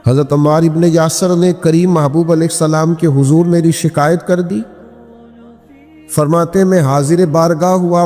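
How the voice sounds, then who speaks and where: Slow-paced male preacher in a reverberant hall. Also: a little high, warm tone. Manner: rhetorical questions and emphatic repetition. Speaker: Islamic preacher. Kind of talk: impassioned sermon with recited verse and narrated religious stories. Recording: long hall reverb with music behind.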